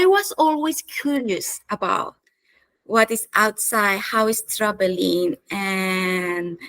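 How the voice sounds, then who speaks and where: Slow, thoughtful woman from Chile. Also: fairly high pitch, fairly bright tone, low-quality recording. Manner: slow, thoughtful, with hesitations and light laughs. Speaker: chief strategist, guest. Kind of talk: interview conversation.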